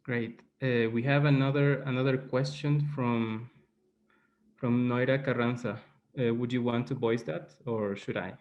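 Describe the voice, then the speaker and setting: A man's voice: mid-range voice, steady tone, a little slow, a little mellow, lo-fi sound, a little hiss or hum. A man moderating a panel, handing over questions in brief, polite phrases over a video call.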